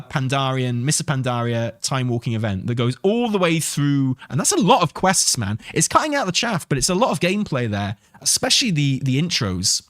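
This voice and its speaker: A man's voice: medium pitch, a bit bright, dry recording, studio quality. A man talking casually as a gaming stream host, rambling and enthusiastic, with some swearing. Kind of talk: casual livestream chat and commentary on game news.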